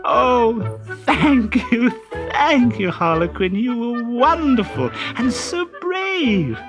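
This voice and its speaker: A storyteller voicing Columbine, an excitable young woman with a very high, breathless voice. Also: slow pace, over music. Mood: grateful.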